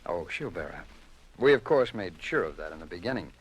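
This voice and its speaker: A self-assured, officious federal agent in an old American radio drama. His voice sits fairly low and he pauses coyly, choosing each phrase with care. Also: sing-song, slightly rough, full character voice, low-quality recording. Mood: smug, assured. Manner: measured, coy, officious, deliberately pausing.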